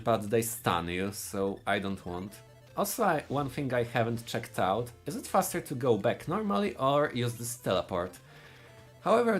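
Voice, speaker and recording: A man with a Polish accent talks over his own speedrun, pausing to find words and restarting sentences as he plays. His voice sits at an ordinary middle pitch. Faint game music plays softly behind him. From Poland. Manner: halting, searching for words, frequent restarts. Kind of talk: live speedrun commentary.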